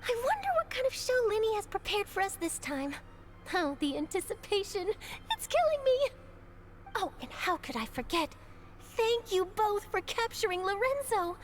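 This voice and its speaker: Gushy young reporter girl for a game, super high and animated, theatrical. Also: moderate pace. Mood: gushing excitement. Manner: theatrical, gushing. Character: eager young female newspaper reporter, effusive. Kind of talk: animated video game voice acting.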